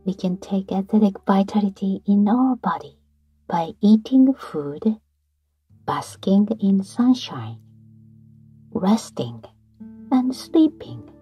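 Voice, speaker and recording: A woman leads a guided breathing meditation at an unhurried pace, her voice very breathy and often sinking into a whisper. Her tone is mellow and her pitch rises and falls freely. Faint guitar music plays underneath. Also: animated, dry recording. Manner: slow, soft, breathy delivery with whispered phrases. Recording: soft guitar music behind.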